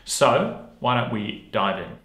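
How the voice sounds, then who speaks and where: Relaxed man talking through a tutorial. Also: medium pitch, slow, husky, no echo. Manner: casual and upbeat, many ums. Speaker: acting coach. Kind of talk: online tutorial, screen walkthrough.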